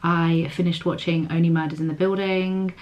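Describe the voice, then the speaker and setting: A young woman with a husky, hoarse voice, chatting casually to camera in a vlog and using lots of fillers. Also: fairly low pitch, flat delivery, moderate pace, a little mellow, muffled recording. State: hoarse, recovering voice. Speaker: vlogger.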